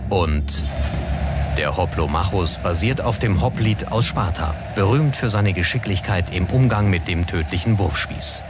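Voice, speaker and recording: Low-voiced documentary narrator over music. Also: male voice, measured pace. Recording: music bed behind the voice.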